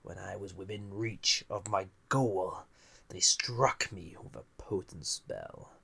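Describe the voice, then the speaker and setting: A man playing an ancient banished villain, a magical being, in an intimate audio roleplay, musing theatrically with a gravelly, husky, breathy voice. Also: low voice, slow pace, sharp tone, heavily acted. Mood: grave, menacing. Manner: musing, theatrical, drifting into breathy near-whispers. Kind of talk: scripted audio roleplay, intimate monologue to listener.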